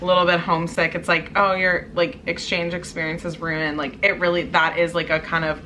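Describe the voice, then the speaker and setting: A woman's voice: on the low side, little pitch movement, brisk, sharp tone, slight background noise, poor audio. A young woman from Canada, chatting casually as a guest and using "like" a lot. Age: young adult. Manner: chatty, filler-heavy, frequent "like". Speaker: exchange student guest. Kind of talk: casual chat.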